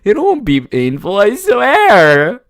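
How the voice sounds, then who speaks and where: A woman voicing an obsessive, hungry and playful yandere vampire in an ASMR roleplay, drawn out, theatrical and breathy. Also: huge pitch swings, exaggerated voice. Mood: manic, menacing. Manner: theatrical, drawn-out, swinging between breathy whispers and high squeals.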